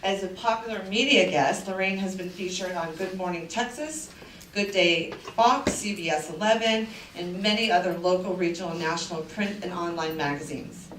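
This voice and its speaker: A woman's voice: on the low side, normal speed, fairly bright tone. A woman representing a parent committee reads a formal introduction of the speaker at a school event. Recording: echoey room from far off, noisy.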